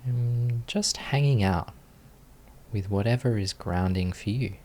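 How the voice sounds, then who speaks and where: Deep voiced guy doing guided meditation, really slow n calm, lots of pauses. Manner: calm, soothing, with long pauses. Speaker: meditation teacher.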